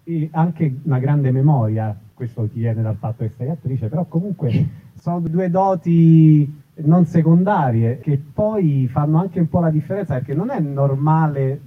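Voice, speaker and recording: A man's voice: medium pitch, husky. A man hosting a live event and interviewing a guest in a casual style. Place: live festival event in an olive grove. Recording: tinny, phone-like live event recording.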